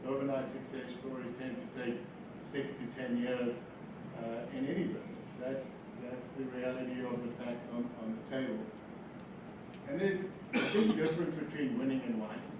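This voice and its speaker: A man's voice: mid-range voice, normal speed, lo-fi sound. A South African man giving an informal business speech with wry, self-deprecating humor, repeating phrases for emphasis. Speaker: business founder, guest speaker. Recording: very echoey room, distant mic, noisy.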